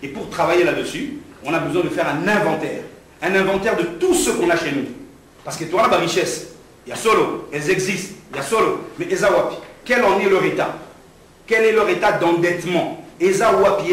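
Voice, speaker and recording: A middle-aged man from Congo giving a speech at a press conference, moving from measured statements to fervent, rousing oratory. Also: a bit bright. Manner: rousing, rising to fervent preaching pitch when speaking to the crowd. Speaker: political candidate at a press conference. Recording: echoey hall from far off, some noise.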